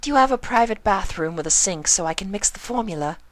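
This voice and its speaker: Woman as a genteel, anxious, high-voiced unicorn mare. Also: animated, fast talker, dry recording, natural voice. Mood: polite. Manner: proper, plaintive, formal phrasing.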